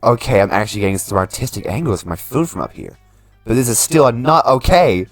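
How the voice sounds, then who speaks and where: A man's voice: mid-range voice, expressive, a little fast, no room sound, faint music behind. A man voicing an aloof, sarcastic young hipster from a video game, irritated and precise in his wording. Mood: exasperated. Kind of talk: video game character voice read aloud.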